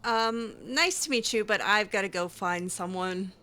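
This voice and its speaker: A woman's voice: mid-range voice, measured pace, pushed and bright. A woman doing casual, improvised roleplay commentary over a video game, chatty and playful with a hesitant way of talking. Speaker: gamer roleplaying a character.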